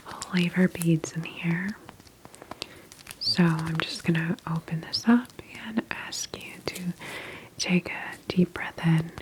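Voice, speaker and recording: A woman whispers softly and gently in an ASMR medical roleplay, playing an attentive doctor. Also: fairly low pitch, background noise.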